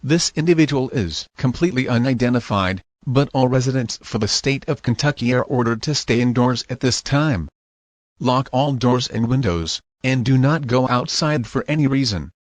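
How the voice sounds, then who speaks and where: A man's voice: medium pitch, moderate pace, no room sound. A man working as an announcer, delivering scripted narration in the style of news and emergency alerts for a horror scenario.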